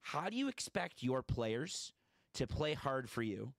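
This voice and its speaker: A man's voice: medium pitch, big pitch swings, a little slow, gravelly, no echo. Guy hosting a sports podcast, talks a lot, hot takes, dry close mic. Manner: chatty, opinionated, self-correcting. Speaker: podcast host. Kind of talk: casual sports podcast chat.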